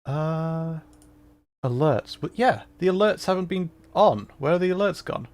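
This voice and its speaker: A man's voice: a little high, lively intonation, a little slow, a little mellow. A man talking casually and self-deprecatingly as he hosts a gaming livestream. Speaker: livestreamer, VTuber. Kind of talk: casual livestream chat while playing a game.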